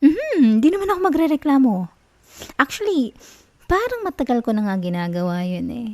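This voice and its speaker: A woman playing an obsessive, sweetly manipulative young college girlfriend in an ASMR roleplay, with a Filipino accent and a soft, coaxing, cooing manner. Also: high-pitched, big pitch swings, normal speed, no echo. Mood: sweetly reassuring. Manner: coaxing, cooing, giggly reassurance.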